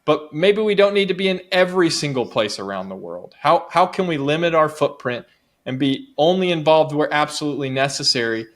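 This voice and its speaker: A man's voice: on the high side, animated, brisk, fairly bright tone. A man appearing as a guest author, making an earnest, persuasive argument that builds its points through repetition. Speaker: guest author and advocacy director.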